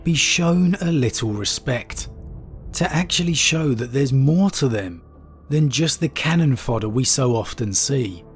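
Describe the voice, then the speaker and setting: A man's voice: mid-range voice, animated, measured pace, faint music behind. Man with a stuffy cold, reading lore. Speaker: YouTube lore channel host. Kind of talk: reading aloud and discussing wargame lore.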